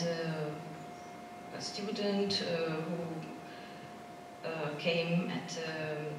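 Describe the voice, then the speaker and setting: A woman speaks at a symposium in a slow, halting way, often searching for words. Her voice sits a little low. She is heard from a distance in a large, very echoey theater hall with clear background noise. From Romania. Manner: halting, searching for words in a non-native language. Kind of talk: unscripted conference presentation.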